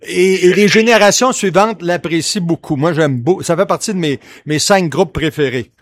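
A man's voice: sits high, normal speed. A man with a Quebec French accent hosting a radio show in casual, playful, chatty banter.

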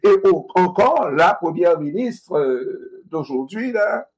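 Animated man. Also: high-pitched, slow pace, breathy edge, poor audio. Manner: animated, repetitive, rhetorical questions. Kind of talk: discussion.

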